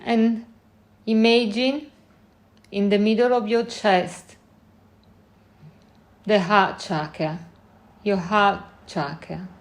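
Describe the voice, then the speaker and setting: A woman leading a guided meditation in gentle, unhurried short phrases with long pauses between them. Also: mid-range voice, fairly even intonation.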